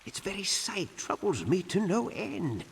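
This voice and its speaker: An actor playing a weary, frightened monk in a video game cutscene, speaking in a grave, troubled, archaic manner. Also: male voice, high voice, big pitch swings, unhurried, vocal fry, slight background noise, exaggerated voice. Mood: resigned, troubled. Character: fearful, weary monk who suspects a deadly conspiracy.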